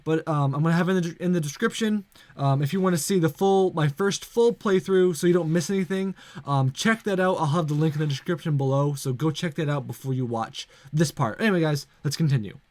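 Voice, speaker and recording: A man's voice: a little high, fairly quick, a little mellow, dry recording. A man hosting a casual let's play, chatting with playful asides and reading visual novel text aloud. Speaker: YouTube let's play host. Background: game music.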